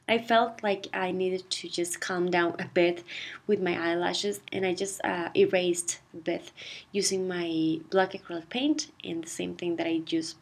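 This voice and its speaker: A woman host narrating a do-it-yourself craft tutorial in a chatty, relaxed way, her voice a little off from a cold, recorded on a different mic than usual. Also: lowish voice, unhurried. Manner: chatty, relaxed, lots of "like" and "you know". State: recovering from a cold. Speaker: DIY craft channel host. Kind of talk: casual voice-over tutorial. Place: voice-over, not recorded on camera. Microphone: not her usual voice-over mic.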